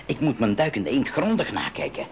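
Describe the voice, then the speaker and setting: A man playing a cautious, kindly inventor uncle in a 1980s educational radio play, with expressive delivery. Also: medium pitch, brisk, vocal fry, dull audio. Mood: good-humoured. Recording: faintly noisy 1980s radio recording.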